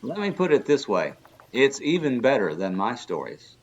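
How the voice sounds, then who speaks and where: A man's voice: mid-range voice, sing-song, normal speed, husky, muffled recording. A man playing a good-natured older history professor and adventurer who explains things in a children's radio drama. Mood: friendly explaining. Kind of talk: kids' radio drama acting.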